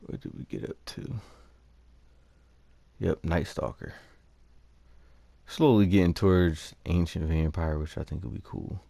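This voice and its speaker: A man's voice: deep voice, steady tone, unhurried, creaky, pauses often, warm tone, dry recording. A man giving casual, laid-back, slangy commentary over his own gameplay. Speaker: gaming host.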